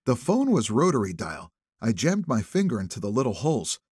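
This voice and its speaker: Man, audiobook thriller narration, raspy edge, lively intonation, suspenseful, dry recording. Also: medium pitch, a little fast, no room sound. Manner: expressive, suspenseful phrasing. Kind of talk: dramatic first-person thriller narration.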